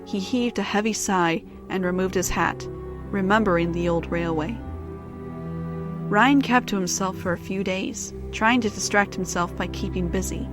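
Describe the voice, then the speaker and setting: A woman's voice: medium pitch, normal speed, over music. A woman giving scripted story narration as the narrator of an audio drama.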